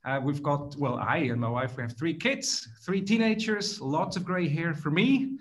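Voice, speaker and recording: A man's voice: fairly high pitch, lively intonation, moderate pace. A middle-aged man from Switzerland with a Swiss accent, answering interview questions thoughtfully over a video call, often restarting phrases. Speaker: guest author. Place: plain room indoors.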